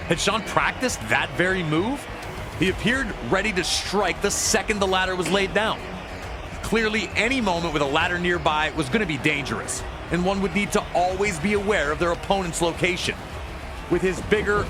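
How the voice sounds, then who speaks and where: High-pitched man narrating wrestling over loud music. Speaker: wrestling commentator narrating a retrospective. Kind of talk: scripted documentary-style sports narration.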